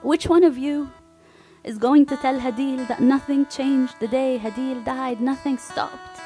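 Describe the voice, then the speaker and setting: A woman's voice: sits high, steady tone, measured pace, mellow, faint music behind. A woman with an Arabic accent performing spoken word poetry on a live stage, rhythmic and repetitive, building to fierce intensity. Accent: Arabic-accented English. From Palestinian family, refugee camp.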